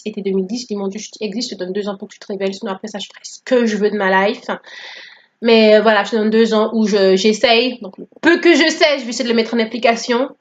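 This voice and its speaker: A woman's voice: medium pitch, muffled recording, slight background noise. A woman in her thirties from Guadeloupe, whose first language is English, telling her story in a playful, joking vlog. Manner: playful, joking, code-switching. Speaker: vlogger. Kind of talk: casual testimony vlog.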